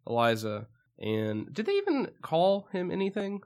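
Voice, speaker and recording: Hoarse man rambling about movies. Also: high-pitched, huge pitch swings, normal speed, a little mellow. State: hoarse, losing his voice. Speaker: podcast co-host. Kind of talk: casual movie review chat.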